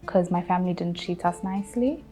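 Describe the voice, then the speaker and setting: A woman's voice: on the low side, fairly quick, dark tone, rough recording. Female, twenties, South African accent, casual interviewee, faint music underneath. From Durban, South Africa. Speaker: interviewee telling her relationship story. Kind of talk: casual personal account.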